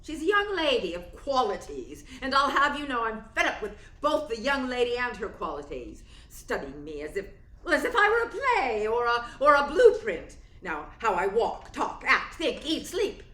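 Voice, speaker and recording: A woman's voice: a little high, very animated, moderate pace, a bit bright. A woman playing a proud, jealous, sardonic middle-aged stage actress in a radio play. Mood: jealous, indignant. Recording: echoey room with faint noise.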